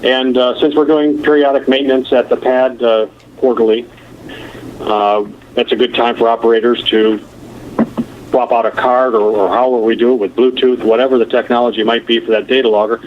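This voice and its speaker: A man giving a halting technical explanation as an engineer presenting on a telephone conference call. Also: mid-range voice, fairly even intonation. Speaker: nuclear plant engineer presenting. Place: conference call over the phone. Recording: muffled, noisy telephone conference line.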